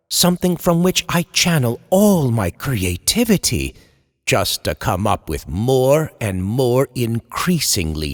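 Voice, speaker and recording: An actor playing an anguished male horror writer, delivering a theatrical, eloquent confession in old time radio horror style. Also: medium pitch. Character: anguished horror writer, a man confessing his descent into madness. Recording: long reverberant hall echo.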